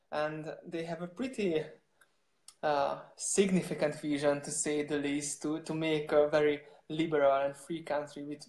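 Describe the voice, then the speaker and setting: A man's voice: a little high, a little mellow. Man speaking in an echoey room. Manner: hesitant, many restarts and fillers. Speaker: startup co-founder. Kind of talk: interview answers.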